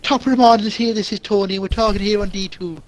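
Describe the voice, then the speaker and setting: A man's voice: high-pitched, steady tone, fast talker, very noisy. A man on voice chat bantering with the host, teasing and swearing for laughs. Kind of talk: casual banter.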